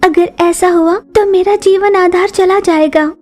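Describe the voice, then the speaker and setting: Woman voicing a very high-pitched, earnest young cartoon bird. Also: fairly even intonation, measured pace, a little mellow, soft background music. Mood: sorrowful. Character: sorrowful, virtuous young female bird, beauty contestant. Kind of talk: Hindi children's cartoon voice acting.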